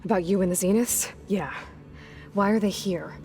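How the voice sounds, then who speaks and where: A woman's voice: mid-range voice, moderate pace, a little mellow, soft background music, lightly acted. A woman acting a determined young warrior heroine in a video game, direct, practical and plain-spoken in a naturalistic style. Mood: probing, serious.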